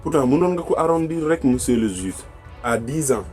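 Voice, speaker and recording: A man's voice: mid-range voice, lively intonation, normal speed, loud music behind the voice, close to an everyday voice. A man voicing an accused cartoon thief who protests and pleads with a judge. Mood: protesting. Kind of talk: comic cartoon dialogue.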